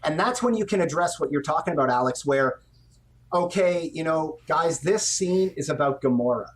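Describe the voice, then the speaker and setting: A man's voice: fairly high pitch, animated, normal speed. A middle-aged man, an animation director, explaining his work conversationally in an interview and acting out little quotes as he goes. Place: indoor room on a video call.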